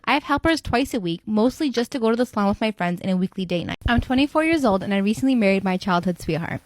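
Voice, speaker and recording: A woman narrating first-person storytime posts in a brisk, even reading voice. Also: mid-range voice, dry recording.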